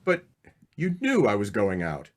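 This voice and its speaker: A man's voice: fairly low pitch, wildly swooping pitch, a little slow. A man playing a loyal, reassuring friend and husband in old-time radio drama, speaking in short clipped phrases. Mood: uneasy.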